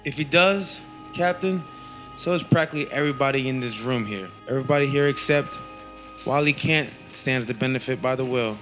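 A man's voice: mid-range voice, brisk, music in the background, lightly acted. A man playing a smug old family friend under suspicion in a comedic radio play, dry and insinuating. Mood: dry, needling.

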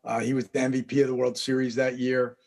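A man as a podcast guest, telling long stories in a relaxed, rambling way with frequent laughs. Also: mid-range voice, fast. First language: English. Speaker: guest, baseball interpreter. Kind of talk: casual storytelling interview.

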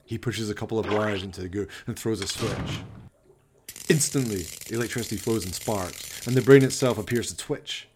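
A man narrating a scripted horror radio play in a theatrical, ominous, deliberate manner. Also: fairly low pitch, background noise.

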